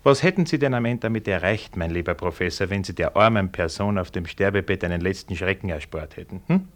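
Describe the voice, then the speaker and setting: An actor playing a worldly, genially cynical senior civil servant with an Austrian accent and a dry, ironic manner, in a radio play. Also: male voice, sits low, expressive, brisk. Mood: wry, lecturing. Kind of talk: German radio play acting.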